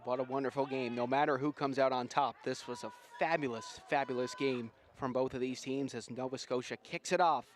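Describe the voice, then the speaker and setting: A man doing live, excitable play-by-play commentary on a rugby sevens match. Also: mid-range voice. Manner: excitable, builds to shouts at big plays.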